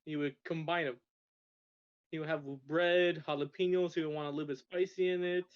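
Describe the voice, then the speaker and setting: A man's voice: fairly high pitch, flat delivery, a little fast, clear, resonant tone. A young man from Russia telling stories as a podcast guest, rambling with frequent restarts and stammers. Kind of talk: casual storytelling. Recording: dull lo-fi sound.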